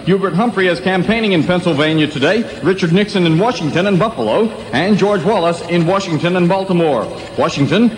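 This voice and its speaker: A man's voice: high voice, a little hiss or hum. A man reading a formal scripted news bulletin as a radio anchor on 1960s AM radio. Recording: 1960s AM radio, roomy long reverb.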